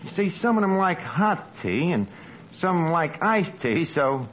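An actor playing a brash, wisecracking New York tavern manager with ungrammatical, mock-refined speech, in 1940s radio comedy style. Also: male voice, on the high side, very animated, measured pace. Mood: wry. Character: brash, malapropping tavern manager with pretensions to class. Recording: noisy, muffled old radio broadcast recording.

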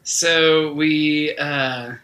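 A man's voice: fairly high pitch, steady tone, very slow, pushed and bright, a little hiss or hum. A man of twenty-eight, telling stories as an interview guest in a loose, colloquial way. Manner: colloquial, informal, frequent "like" and "dude". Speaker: guest, band vocalist. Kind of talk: casual interview storytelling.

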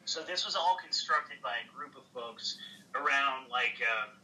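A man's voice: mid-range voice, a little slow, recorded from a distance, a crowd in the background, poor audio. A man in a casual livestream conversation, rambling and swearing, with lots of "like" fillers. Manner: rambling, heavy on "like" fillers, profane. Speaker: livestream guest.

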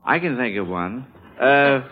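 A man's voice: medium pitch, expressive, a little slow. A man hosting a 1940s-style radio quiz for children in a folksy, chuckling manner, often repeating answers back. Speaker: quiz show host. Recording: dull, band-limited old radio recording.

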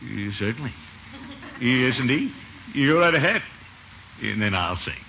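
A man's voice: mid-range voice, big pitch swings, a little slow, vocal fry, pushed and bright, exaggerated voice. An American actor playing a pompous, flustered middle-aged man who fancies himself a singer, in 1940s radio comedy style. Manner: pompous, blustering. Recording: muffled, noisy vintage radio recording.

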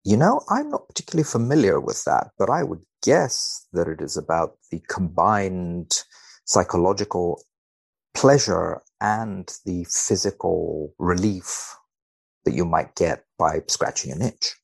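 A man who is a guest researcher on a podcast, reading and commenting on a study in a precise, measured, dry manner. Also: deep voice, big pitch swings, vocal fry, breathy edge.

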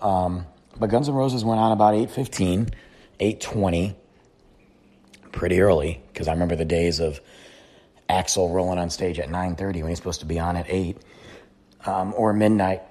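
Hoarse man with a nearly lost voice. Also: deep voice. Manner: loud, rambling, stumbling restarts. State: hoarse, voice nearly gone after shouting. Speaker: podcast host. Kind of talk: casual solo podcast recap.

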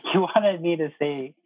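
A man's voice: sits high, husky, dry recording. Man, thirties, interview guest, conversational storyteller, dry phone-like sound, muffled. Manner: conversational, retells remembered exchanges in quoted speech. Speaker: interview guest, air traffic controller. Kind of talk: casual personal storytelling in an interview.

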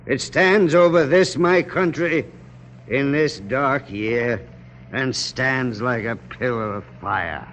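An actor playing a weary, resolute American general in a radio drama, speaking in grave, deliberate, theatrical speeches. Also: male voice, mid-range voice, a bit bright. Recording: dull, hissy old radio broadcast.

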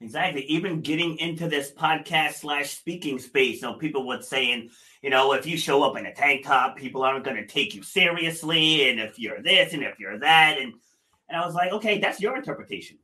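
A man hosting a casual podcast interview, energetic, often repeating words and laughing as he talks. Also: on the high side, fairly quick, low-quality recording. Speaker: podcast host, gym owner.

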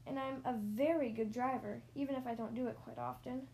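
Woman as a squeaky, anxious mouse learner driver. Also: steady tone, brisk, warm tone, a little hiss or hum, close to an everyday voice. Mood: defensive. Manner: pleading, squeaky, stammering under pressure.

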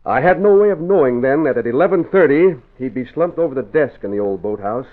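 An actor playing a formal, self-important company boss with a wounded air, in 1940s radio style. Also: male voice, medium pitch, expressive, a little fast, natural voice. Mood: regretful, formal. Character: pompous, wounded company boss feigning regret. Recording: tinny, narrow vintage radio recording.

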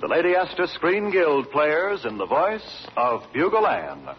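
A man's voice: a little high, big pitch swings, measured pace, slight background noise. A man with an American accent announcing a scripted programme in a formal, polished 1940s radio style. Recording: muffled vintage radio with faint music.

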